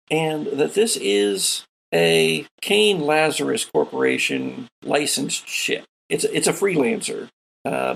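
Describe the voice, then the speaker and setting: A man running a tabletop sci-fi game, narrating scenes in an improvised, deliberate and descriptive way as game master. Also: mid-range voice, gravelly, a little hiss or hum, poor audio.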